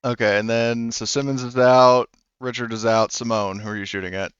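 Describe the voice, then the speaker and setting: A man running a tabletop game as game master, talking haltingly with many fillers. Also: medium pitch, fairly even intonation, fairly bright tone, clear, resonant tone, no room sound, dull audio.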